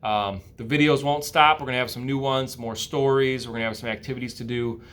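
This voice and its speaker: A man's voice: medium pitch, fairly even intonation, fairly quick, a bit bright. A man with an American accent, an educator, narrating a storybook aloud for children. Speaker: school educator reading aloud.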